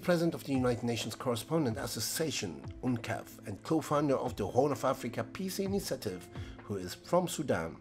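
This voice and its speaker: A man reads a scripted news-style voice-over in a plain, reportorial way. Faint music plays under his voice. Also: mid-range voice. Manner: reportorial, reading. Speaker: narrator.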